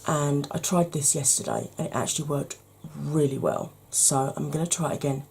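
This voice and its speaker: A woman, hoarse from hay fever, chatting through a makeup tutorial step by step and speaking straight to her viewers, with frequent ums. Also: low voice, big pitch swings, normal speed, darkish tone, slight background noise.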